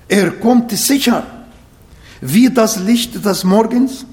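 Male preacher, high voice, german, emphatic scripture reading, grammar slips. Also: a little mellow, long reverb tail. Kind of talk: sermon.

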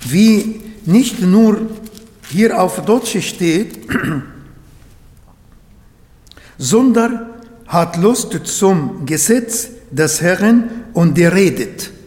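A man's voice: high-pitched, lively intonation, extremely slow, long pauses, a little mellow. Arabic-speaking man preaching in a hall. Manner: earnest, searching for German words. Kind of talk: sermon.

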